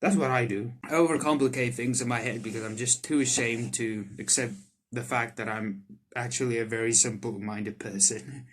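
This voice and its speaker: A man doing let's play commentary on a visual novel, reading the text aloud and reacting to it excitably. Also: medium pitch, moderate pace. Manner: excitable, reacts in sudden loud outbursts. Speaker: streamer playing a visual novel. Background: soft game music.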